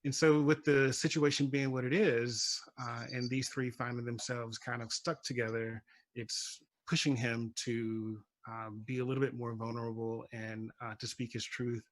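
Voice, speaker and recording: A man on a panel explaining his work casually, in a halting, measured way with many pauses. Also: medium pitch, level tone, no echo. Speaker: playwright on a panel.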